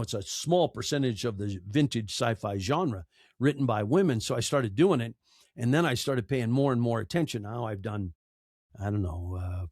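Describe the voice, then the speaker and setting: A man's voice: medium pitch, a little mellow, no room sound, studio quality. A man speaking casually and anecdotally as a podcast guest, emphatic and repeating phrases for effect. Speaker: podcast guest, storyteller and narrator. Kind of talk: casual interview conversation, anecdotal.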